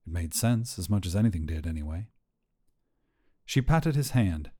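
A man reading short fiction aloud as a narrator, in an expressive scripted storytelling style. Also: sits low, moderate pace, slightly rough.

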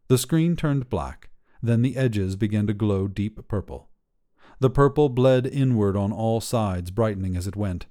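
A man reading short fiction as a podcast narrator, in a measured, careful way. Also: lowish voice, mellow.

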